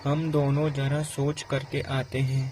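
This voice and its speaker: Man voicing a slow-talking foolish young cartoon student. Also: medium pitch, little pitch movement, a little mellow, slight background noise, natural voice, poor audio. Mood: polite. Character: foolish, excitable young Brahmin student travelling through a forest. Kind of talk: Hindi cartoon moral-story dialogue. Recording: music laid under the voice.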